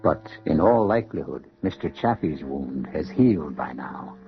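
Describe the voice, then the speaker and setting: Deep-voiced male suspense narrator. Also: animated. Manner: measured, ominous, rhetorical. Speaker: radio drama host and narrator. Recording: dull vintage radio broadcast. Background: faint music.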